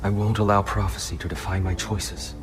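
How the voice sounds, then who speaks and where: A man acting a low, solemn troubled god. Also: little pitch movement, lightly acted. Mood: resolute. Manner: solemn, measured, formal. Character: gentle, troubled giant war god, principled. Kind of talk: video game cutscene acting. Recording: music behind the voice.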